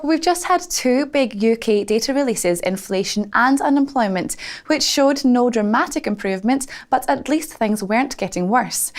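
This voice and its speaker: A woman presenting financial news, reading scripted interview questions in a formal broadcast style. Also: on the high side.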